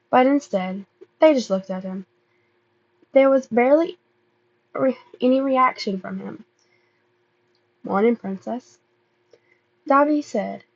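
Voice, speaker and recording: A woman reading fan fiction aloud as an amateur narrator, halting and often stumbling over and repeating words. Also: on the high side, lo-fi sound. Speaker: amateur podfic reader.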